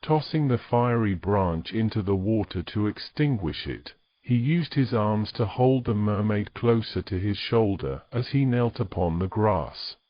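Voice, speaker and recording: A man serves as narrator, reading scripted fantasy prose. His voice sits low, and the recording sounds a touch dull. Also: lively intonation, measured pace, few pauses, no room sound.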